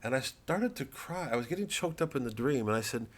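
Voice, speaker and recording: A man's voice: medium pitch, animated, fairly quick. A man, a comedian, talking casually to camera in a rambling way, with stammering restarts and free swearing.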